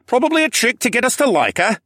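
A man's voice: sits high, animated, normal speed, sharp tone, no room sound, studio quality, pitched above the performer's own voice, full character voice. A man voicing a suspicious, hostile townsman with a huffy, grumbling manner in an audiobook. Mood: distrustful.

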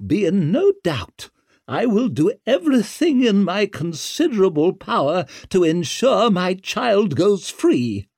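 An imperious older noblewoman from a fantasy audiobook, acted out by a man. The voice is pitched high and swoops widely, with a sharp, haughty edge. Words are stretched with menacing emphasis. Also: sing-song, no room sound, clean studio sound, heavily acted. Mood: coldly defiant. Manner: haughty, drawn-out emphatic delivery. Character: imperious aristocratic older mother, shrill, menacing, pleading.

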